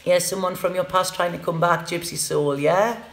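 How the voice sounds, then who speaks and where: A woman's voice: fairly low pitch, steady tone, normal speed, vocal fry. A woman doing a live tarot reading for viewers, chatting casually and often ending her lines with "okay?". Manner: chatty, tags sentences with "okay?", repeats words. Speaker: tarot reader. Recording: roomy echoey room.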